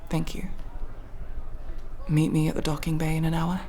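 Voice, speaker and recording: A woman voicing a gentle, hesitant female alien homesick for her world, in an ASMR roleplay drama. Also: deep voice, monotone, a little hiss or hum, natural voice. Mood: subdued, tender. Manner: soft, hesitant, halting.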